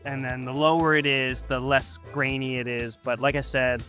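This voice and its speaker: A man at a middle pitch walking a friend through camera settings outdoors at dusk. He talks casually and patiently, pausing often with ums and uhs, with faint music underneath. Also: level tone, bright, forceful tone. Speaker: photographer teaching a guest. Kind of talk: casual how-to explanation.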